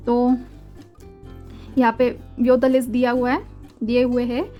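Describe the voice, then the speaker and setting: High-voiced woman teaching German. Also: completely flat delivery, dark tone. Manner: patient, repeats words slowly, mixes Hindi and English. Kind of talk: explaining textbook exercises. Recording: faint music behind.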